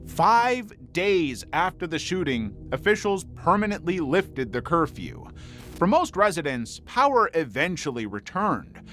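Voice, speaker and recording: A man reading scripted true crime documentary narration in a measured, serious storytelling manner. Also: on the high side, big pitch swings, fairly bright tone. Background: background music.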